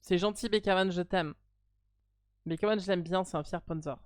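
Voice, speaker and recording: A woman's voice: lowish voice, fairly even intonation, moderate pace, no room sound, studio quality. A woman with a French accent, hosting a live stream and chatting casually, blunt and playfully teasing.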